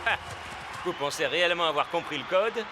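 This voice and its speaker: A man playing a sneering, arrogant rival villain in a French-dubbed cartoon, haughty and mocking, with loud music. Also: a little high, animated, a little fast, lightly acted.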